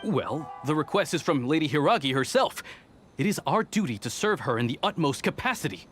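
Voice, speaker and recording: A man's voice: on the high side, lively intonation, brisk, soft background music, natural voice. A man playing a devoted, nervously eager guard in a video game, formal and easily flustered. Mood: flustered, dutiful. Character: earnest, flustered male guard devoted to his lady.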